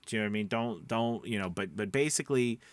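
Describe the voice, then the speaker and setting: A man's voice: on the low side, fast talker, no echo. A man who coaches voice-over talking casually and emphatically to his viewers, often checking that they follow him. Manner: conversational, emphatic, often checking understanding with rhetorical questions. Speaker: voice-over coach and channel host. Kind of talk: casual advice talk to camera.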